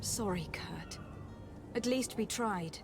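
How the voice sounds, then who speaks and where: A composed noblewoman envoy in a video game cutscene. She is courteous and formal yet determined, at a middle pitch, with music clearly playing behind her. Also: slow. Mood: resolute.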